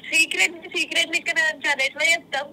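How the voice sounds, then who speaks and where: A woman's voice: sits high, fairly even intonation, quick pace, pushed and bright, slight background noise. A woman with a Telangana Telugu accent chatting casually on a phone call played over a speaker. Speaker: guest's wife on a phone call.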